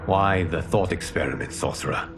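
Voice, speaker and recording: A man's voice: sits low, normal speed, background noise. A man playing a wise, burdened protector god in a video game, stern, formal and authoritative. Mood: grave, resolute. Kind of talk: dramatic video game cutscene acting.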